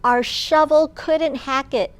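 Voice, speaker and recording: A woman with a high voice leads a storytime for young children as their librarian. She reads very slowly, in simple and deliberate phrases that small listeners can follow. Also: fairly even intonation. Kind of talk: children's storytime with singing and read-aloud.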